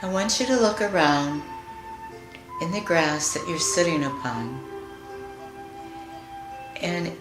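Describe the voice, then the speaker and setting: A woman leading a guided meditation in a soothing, deliberate manner, leaving long pauses between phrases. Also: sits low, lively intonation. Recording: roomy echo with music behind. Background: music.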